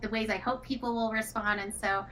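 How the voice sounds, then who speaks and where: A woman's voice: medium pitch, fairly even intonation, fairly quick, sharp tone. A woman who works as a game writer and director, chatting casually in an earnest, reflective manner. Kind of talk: casual developer chat. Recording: clearly noisy background.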